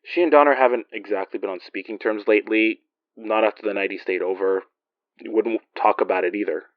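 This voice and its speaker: A man's voice: lowish voice, fairly even intonation, fairly quick, no echo, dull audio, natural voice. An actor playing a weary, guarded male investigator in an audio drama, speaking in a wry, hesitant, conversational way. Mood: defensive, wry. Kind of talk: naturalistic audio drama acting.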